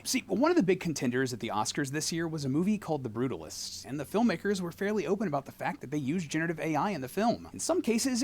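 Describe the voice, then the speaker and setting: A man narrates a scripted piece in a fairly high voice with lively swings of pitch. He drops wry, sarcastic asides between the facts. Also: breathy edge. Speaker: video essay narrator.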